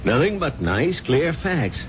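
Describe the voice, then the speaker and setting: An actor playing a brusque, scornful newspaper chief in a radio drama. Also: male voice, fairly high pitch, very animated, slow, a little hiss or hum. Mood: gruff. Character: gruff impatient newspaper chief editor. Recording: lo-fi old radio recording.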